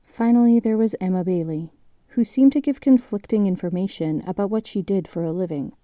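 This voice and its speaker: A woman playing the composed narrator of a grim documentary-style mystery, reading in a measured, matter-of-fact way. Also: medium pitch, warm tone, no echo, studio quality, close to an everyday voice. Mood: measured, grave.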